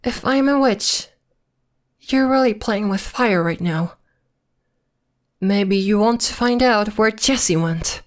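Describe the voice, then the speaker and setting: A woman's voice: medium pitch, slow, pauses often, close to an everyday voice. A woman playing a possessive girlfriend who is secretly a witch, coy and sweetly menacing, in an ASMR roleplay. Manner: coy, teasing, veiled threats in a sweet tone.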